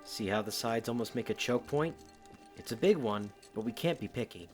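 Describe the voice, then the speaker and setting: A man's voice: medium pitch, moderate pace, faint music behind, lightly acted. A man playing an earnest, practical young knight in an audio drama. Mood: serious, cautious. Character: young male knight, earnest, cautious, playfully curious. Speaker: voice actor.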